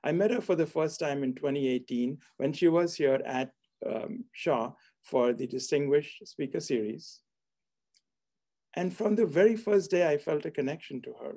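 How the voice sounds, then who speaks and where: Middle-aged man with a mellow voice. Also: fairly high pitch, normal speed. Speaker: host, hospitality school dean. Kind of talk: formal online event introduction and interview. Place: book-lined office indoors. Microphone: video call, seated at computer.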